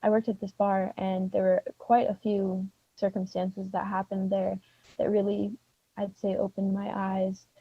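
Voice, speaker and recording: Husky, gravelly woman on lo-fi audio. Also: medium pitch, monotone, no echo. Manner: earnest, quick, fillers. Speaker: interviewee. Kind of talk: casual interview answer. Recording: dull lo-fi audio.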